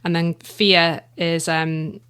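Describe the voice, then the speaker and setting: Brief: woman writer in an interview, low-ish flat voice, hesitant, chatty. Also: takes it slowly, clear, resonant tone. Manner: hesitant, with many ums and restarts. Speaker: guest, audio drama writer. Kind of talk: casual interview chat.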